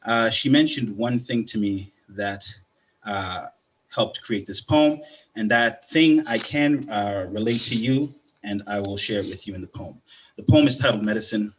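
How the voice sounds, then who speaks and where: A man's voice: fairly low pitch, measured pace, a little hiss or hum. A man who is a poet and arts educator, born in Canada and raised partly in Guyana, greets the audience and then performs a spoken word poem with a rhythmic, rising delivery.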